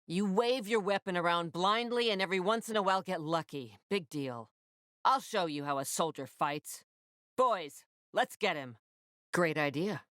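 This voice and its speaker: Tough female army officer for a video game: lowish voice, curt, authoritative, dry close sound. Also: expressive, normal speed, fairly bright tone, dry recording. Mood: cold, threatening. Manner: clipped, authoritative, no-nonsense. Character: tough, commanding female military officer, curt and foul-mouthed.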